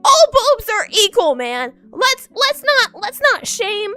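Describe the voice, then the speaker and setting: Woman streamer, bright high voice bouncing all over, giggly groggy chat, soft music under it. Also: dry recording. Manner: playful, giggly, repeats herself a lot. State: just woken up, groggy. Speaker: VTuber streamer. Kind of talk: casual chat stream talking to viewers. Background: faint background music.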